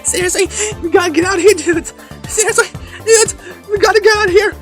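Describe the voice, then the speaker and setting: A woman's voice: very high-pitched, a little fast, music in the background. A woman voicing a whiny, stammering, terrified schoolboy bully in a cartoon fandub. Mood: panicked. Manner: stammering, exclaiming. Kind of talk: cartoon fandub audiobook acting.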